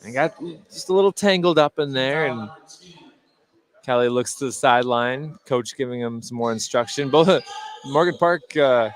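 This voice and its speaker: A man giving unscripted color analysis at a basketball game, halting and repeating words as he thinks aloud. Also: mid-range voice. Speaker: color commentator. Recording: faint gym noise behind the voice. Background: indoor gymnasium ambience.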